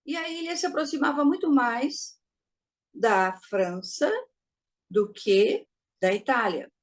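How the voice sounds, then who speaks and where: A woman giving a teaching talk, measured and posing rhetorical questions to her listeners. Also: a little high. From Brazil. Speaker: spiritist lecturer. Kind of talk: didactic talk to an audience.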